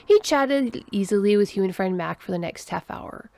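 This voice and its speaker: A woman reads a scripted story as its narrator. Her pitch rises and falls in a lively way and often settles into creak. The sound is dry and close, with no room around it. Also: normal speed, dry recording.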